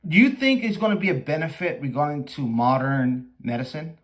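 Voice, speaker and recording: A man with a Spanish accent, hosting a livestream and chatting casually with an AI, halting and full of fillers. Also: on the high side, sing-song. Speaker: livestream host. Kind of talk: casual chat with an AI.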